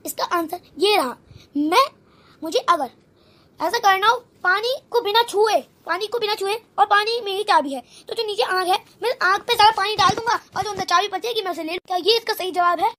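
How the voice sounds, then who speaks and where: Tiny high-pitched voice, fast talker, confident cartoon contestant acted by a woman, scripted riddle answers. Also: bright, forceful tone. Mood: confident, eager. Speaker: quiz contestant.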